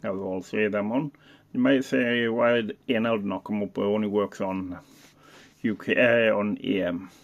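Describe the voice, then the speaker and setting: A man with a fairly low voice and a lot of gravelly fry talks through a hands-on demonstration. He is unscripted and casual, a hobbyist reviewer who halts and thinks aloud as he works through each feature. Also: fairly even intonation, normal speed, dull audio.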